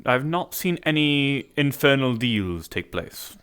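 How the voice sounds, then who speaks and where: A man playing a suave businessman in a suit, speaking in a smooth, formal and faintly sly way. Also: medium pitch, big pitch swings, takes it slowly, a bit bright. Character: suave suited businessman with groomed hair. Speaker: streamer roleplaying a character. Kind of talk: improvised social-VR roleplay.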